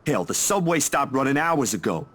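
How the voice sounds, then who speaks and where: A man acts out a protective, concerned male friend in a visual novel. His mid-to-natural voice moves widely in pitch, earnest and soothing. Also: big pitch swings, normal speed, fairly bright tone.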